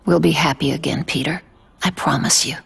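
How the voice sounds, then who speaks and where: Game drama role: caring older aunt, widowed, raspy-edged voice, earnest, motherly, firm when needed. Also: sits low, steady tone, brisk, full character voice. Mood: sorrowful, hopeful. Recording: music behind.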